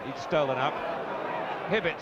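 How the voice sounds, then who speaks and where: Old 70s footy commentary guy, clipped delivery, highish voice, really noisy recording. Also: moderate pace. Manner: terse, clipped naming of players. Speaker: football commentator. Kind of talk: live football match commentary, 1970s television. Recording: dull 1970s broadcast, loud crowd noise. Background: loud stadium crowd.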